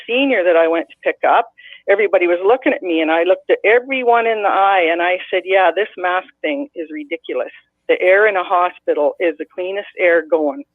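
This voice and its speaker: A woman's voice: lowish voice, no room sound. A middle-aged woman from Canada calling in, telling a long, blunt, rambling story with many restarts. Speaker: caller. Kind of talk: casual call-in storytelling. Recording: dry tinny phone line.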